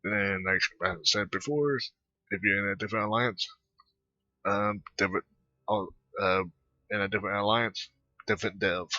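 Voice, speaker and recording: A man hosting a casual gaming playthrough, halting and rambling as he reads dialogue aloud and mutters asides, on a dull, dry mic with clicking. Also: on the low side, steady tone, pushed and bright, no echo. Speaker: gaming channel host. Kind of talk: casual let's play commentary, reading dialogue aloud.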